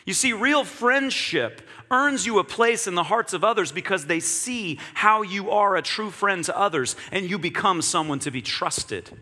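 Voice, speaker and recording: Bright-voiced preacher man in a reverberant hall. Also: sits high, lively intonation, moderate pace, hardly any pauses. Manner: earnest, reflective preaching with repeated phrases. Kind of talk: sermon.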